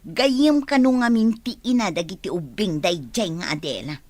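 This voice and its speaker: A woman's voice: mid-range voice, lively intonation, normal speed, a little mellow, no echo, lightly acted. A woman acting as a gossipy, fretful village woman in a radio drama.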